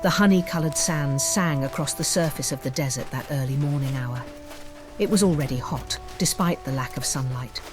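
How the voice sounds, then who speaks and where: A woman reading scripted fantasy audiobook narration in a measured, descriptive storytelling manner. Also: deep voice, over music.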